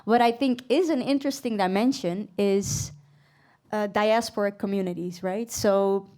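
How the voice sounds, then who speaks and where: A woman's voice: medium pitch, normal speed, mellow. A woman raised in the Netherlands, speaking as a panelist in a live stage discussion, thoughtful and hesitant, with many fillers. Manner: reflective, thinks aloud with many fillers and laughs. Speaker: panelist and singer. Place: event venue stage.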